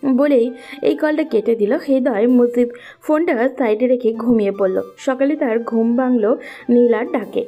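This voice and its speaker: A woman's voice: fairly high pitch, normal speed, warm tone, faint music behind. A woman narrating a scripted romantic story as a storyteller.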